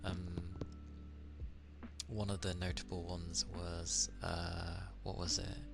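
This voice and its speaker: A man from England with an English accent, chatting casually on an ASMR stream, soft-spoken and at times drifting into whispers. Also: sits low, flat delivery, slow pace, vocal fry, over music.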